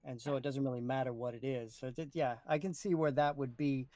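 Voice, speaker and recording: A man past his twenties co-hosting a casual discussion, explaining his points in a measured, conversational way. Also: mid-range voice, steady tone, a little mellow, dry recording. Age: 30s or older. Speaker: podcast co-host.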